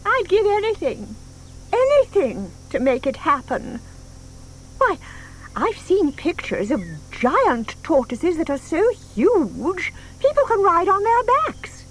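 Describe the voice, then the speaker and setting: A woman's voice: high-pitched, a little hiss or hum, full character voice. An actress playing a doting, sentimental older woman who coos over her pet in an audio drama, with gushing, emphatic delivery.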